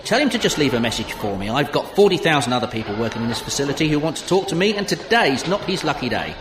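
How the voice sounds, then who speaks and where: A man's voice: fairly high pitch, sing-song, fast, reverberant, noisy background. A man acting a harried, brusque factory director in an audio drama, curt and bossy. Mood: impatient, brusque.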